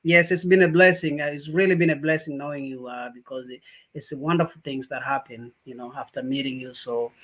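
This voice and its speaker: A man from Tanzania, a guest on a podcast, talking in a warm, grateful way with many fillers and restarts. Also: mid-range voice, fairly even intonation, brisk, a crowd in the background, poor audio. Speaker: guest, healthcare business owner. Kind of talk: casual interview.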